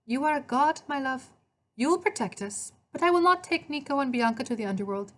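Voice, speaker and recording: A woman's voice: high-pitched, animated, brisk, fairly bright tone, pitched above the performer's own voice. A woman storyteller voicing a loving, resolute mother with an Italian accent and a tender, firm manner.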